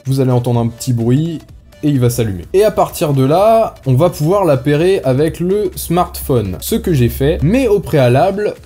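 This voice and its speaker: A man's voice: on the high side, animated, normal speed, dark tone, husky. A man doing a casual gear review, explaining things step by step in an informal way. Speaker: motorcycle gear reviewer and vlogger. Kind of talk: casual product review and tutorial. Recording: music behind the voice. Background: background music.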